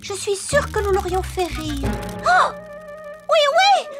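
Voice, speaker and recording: A woman voicing a perky, caring little female toy friend in a children's cartoon, expressive and excitable. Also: extremely high pitch, slow pace, loud music behind the voice, full character voice. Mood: worried, excitable. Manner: expressive, exclamatory.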